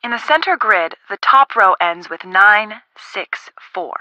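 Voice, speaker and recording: A woman voicing a friendly young woman in a video game, casual, expressive and helpful. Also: mid-range voice, a little slow, no room sound, poor audio. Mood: helpful, explaining.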